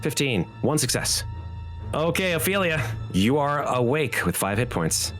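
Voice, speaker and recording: Very animated game master over music. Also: medium pitch, measured pace. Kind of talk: animated tabletop game narration. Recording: music behind the voice. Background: background music.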